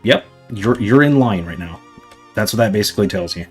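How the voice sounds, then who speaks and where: A young man chatting casually to his viewers on a livestream, rambling with lots of fillers and a voice going slightly hoarse from talking a long time. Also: fairly low pitch, expressive, a little fast, soft background music. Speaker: livestreamer answering chat.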